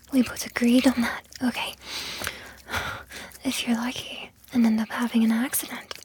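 Soft, slow whispering woman. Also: fairly high pitch, little pitch movement, rough recording. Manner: breathy whispering with frequent sighs. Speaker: audio roleplay performer. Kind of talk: whispered intimate roleplay.

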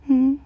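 A woman performing an intimate audio roleplay in a soft, close whisper. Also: a little hiss or hum.

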